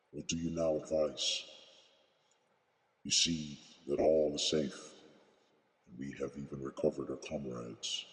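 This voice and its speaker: A man's voice: fairly bright tone, full character voice. A man playing a loyal warrior companion who earnestly advises his chieftain. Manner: low, earnest, confiding. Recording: long hall reverb.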